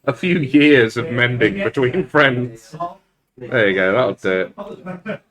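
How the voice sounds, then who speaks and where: A man banters casually as a player in a tabletop game. His voice is mid-pitched for a man but swings widely, giving his jokey talk an animated sound. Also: slow, a crowd in the background.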